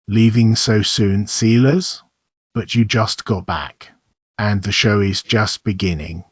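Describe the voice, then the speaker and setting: A man voicing a calm, flat, menacing paranormal entity that imitates a radio host in a horror story. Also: lowish voice, slow. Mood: calm menace. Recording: muffled, roomy echo.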